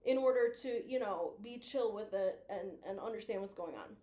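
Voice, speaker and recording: A woman's voice: medium pitch, moderate pace, warm tone, reverberant. A woman chatting casually as a video host giving commentary, playful and teasing, with the odd mild swear. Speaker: YouTube host reviewing analog horror.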